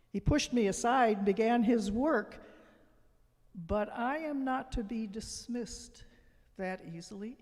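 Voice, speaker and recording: A scornful, patient spirit of doubt as a church reader acts it out delivers a dramatic monologue. The pace is slow and deliberate, with a relentless temper. Long hall reverb surrounds the voice. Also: mid-range voice, darkish tone. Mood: defiant, bitter. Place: church sanctuary.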